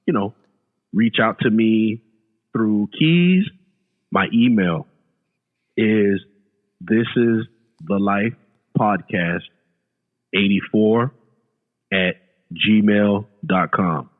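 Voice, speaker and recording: A man's voice: on the low side, extremely slow, long pauses. A man in his late thirties, a podcast guest chatting casually, often repeating words and saying "you know". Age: late 30s. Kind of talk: casual chat. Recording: phone-like remote line with long reverb.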